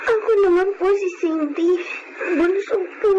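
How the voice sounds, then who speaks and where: Casting: actress as innocent youngest daughter, tiny very high voice, melodrama delivery, muffled noisy recording. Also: female voice, measured pace. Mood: sweet, polite. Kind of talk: Filipino radio-style melodrama acting. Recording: muffled, noisy, radio-like sound.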